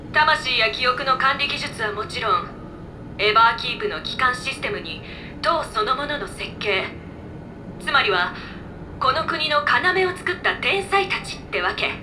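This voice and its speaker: A woman's voice: sits high, background noise. A woman voicing an easygoing, knowledgeable floating robot in a video game, explaining things casually. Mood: matter-of-fact, breezy. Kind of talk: video game voice acting, exposition.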